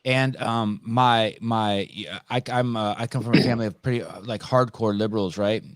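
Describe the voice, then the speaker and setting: A man from California hosting a podcast in casual conversation, telling animated stories and swearing freely. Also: lowish voice, normal speed, no echo, studio quality. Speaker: podcast host.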